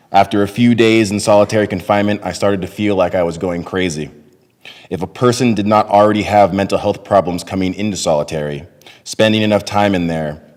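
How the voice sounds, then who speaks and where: A middle-aged man giving a measured, earnest dramatic reading of testimony at a lectern. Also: low voice. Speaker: reader in a readers theater. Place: large temple sanctuary, at a lectern. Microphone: podium microphone on a boom stand. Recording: long hall reverb.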